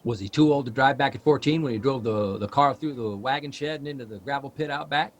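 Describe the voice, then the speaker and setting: An actor playing a restless, self-doubting male writer in a live audio drama, rambling and halting as he questions himself. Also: medium pitch, lightly acted. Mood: wry, exasperated.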